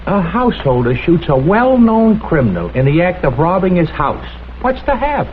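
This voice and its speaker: Wry police lieutenant, lively pitch swings. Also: male voice, normal speed. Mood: dismissive. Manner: dry, blunt, skeptical. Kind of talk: vintage crime drama acting. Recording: tinny old soundtrack with background hiss.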